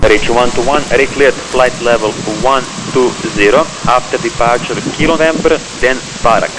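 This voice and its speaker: A noisy aviation radio channel carries a male air traffic controller with a mid-pitched voice. He gives clipped, formal procedural instructions. Engine and rain noise sit behind the transmission. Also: normal speed.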